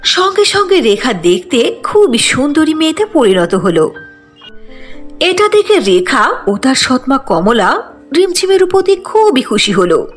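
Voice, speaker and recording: A woman narrating a scripted fairy tale. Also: high voice.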